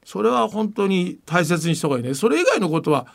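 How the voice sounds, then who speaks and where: A man's voice: high-pitched, gravelly. An older man hosting a podcast, chatting casually and giving firm, emphatic opinions. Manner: lively, emphatic, opinionated, quick talker. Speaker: podcast host. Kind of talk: casual talk show chat.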